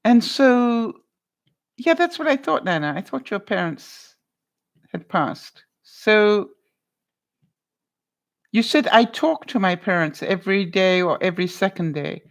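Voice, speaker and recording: A woman vlogger chatting casually on a livestream, answering viewers by name, lively and quick to laugh, with a loud voice that carries. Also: medium pitch. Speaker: vlogger answering live chat.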